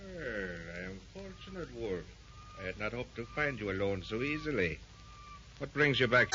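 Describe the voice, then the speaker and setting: An actor playing a calm, suave and scheming rival expedition leader in a 1930s radio serial. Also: male voice, mid-range voice, moderate pace. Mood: probing. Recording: dull old radio recording with faint hiss.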